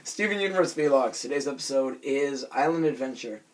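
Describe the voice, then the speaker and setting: Young man, hoarse and raspy, casual vlogger, self-conscious and rambling, mid pitch. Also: animated, a little fast, low-quality recording. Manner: self-conscious, rambling with many fillers and restarts. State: hoarse from screaming. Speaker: vlogger reviewing a cartoon episode.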